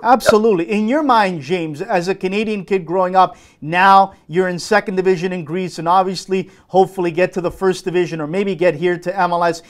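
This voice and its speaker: High-pitched enthusiastic sports show host. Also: male voice, fairly even intonation, brisk, few pauses. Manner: long, wordy questions, emphatic. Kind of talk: enthusiastic interview questions.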